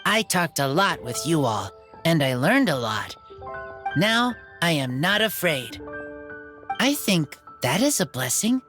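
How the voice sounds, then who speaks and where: A man voicing an earnest, plain-spoken wild teenage boy in a video game, in halting, simple phrases. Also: fairly high pitch, big pitch swings, music in the background.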